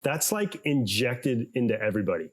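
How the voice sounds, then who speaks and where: A man's voice: mid-range voice, lively intonation, normal speed. Laid-back man chatting. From United States, San Diego. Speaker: guest. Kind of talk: casual podcast chat.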